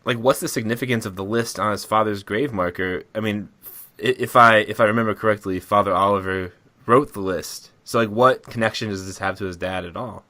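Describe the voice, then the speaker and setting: A man's voice: lowish voice, fairly even intonation, brisk, sharp tone, no echo. A man hosting a comedy podcast, giggly and easily cracked up as he chats and reads aloud casually. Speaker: podcast host. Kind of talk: casual comic podcast chat, reading a summary aloud.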